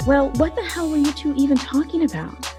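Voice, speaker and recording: A woman acting in an audio drama as a bold, flirtatious, gossipy best friend with a sassy, expressive conversational manner. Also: sits high, a little fast, music in the background, close to an everyday voice. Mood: concerned, reassuring.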